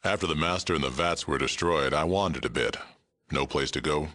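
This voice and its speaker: Game role: old super mutant lawman, extremely low and forceful, terse, weary, fair. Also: brisk. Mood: reflective, world-weary. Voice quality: gravelly, rumbling. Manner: blunt, terse, dry delivery. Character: gruff, aging super mutant sheriff, world-weary but fair-minded. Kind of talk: video game character dialogue.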